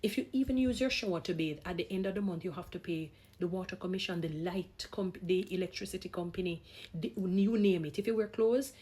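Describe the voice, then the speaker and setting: A woman's voice: fairly low pitch, a little fast, a little mellow, husky. A woman vlogger giving opinionated talk in an emphatic, exhorting manner, repeating phrases for stress. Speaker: vlogger, gospel singer.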